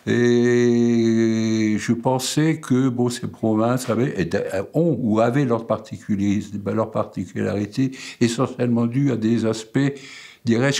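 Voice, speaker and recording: A man's voice: mid-range voice, darkish tone. Hesitant elderly man from Alsace. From Alsace, France. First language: Alsatian. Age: 80s. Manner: halting, many fillers and repeated words. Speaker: painter and sculptor interviewed. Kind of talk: hesitant reflective monologue.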